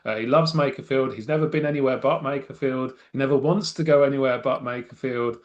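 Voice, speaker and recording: A man's voice: medium pitch, fairly quick, highs cut off. A middle-aged man speaking as a political science guest, giving thoughtful, wry analysis with frequent restarts and fillers. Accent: non-Mancunian English. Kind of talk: conversational expert analysis.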